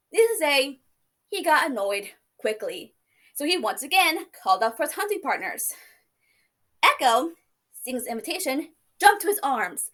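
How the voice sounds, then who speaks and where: A young woman giving a class speech, telling stories in a playful, casual way. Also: sits high. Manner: lively, playful storytelling with casual asides. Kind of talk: informative speech.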